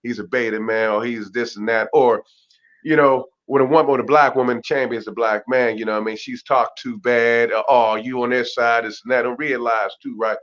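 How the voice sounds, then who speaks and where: A man with a deep voice who talks emphatically and preachily as an interview guest, often tagging his points with "right?". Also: steady tone, a little fast, fairly bright tone, muffled recording. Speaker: guest, boxer and community mentor. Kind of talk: casual interview talk.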